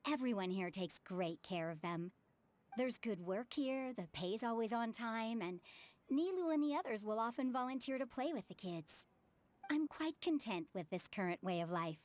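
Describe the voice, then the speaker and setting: A woman playing a gentle, contented working mother in a video game, friendly, measured and polite. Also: on the high side, expressive, close to an everyday voice. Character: gentle, contented widowed mother, theater prop worker. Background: game music.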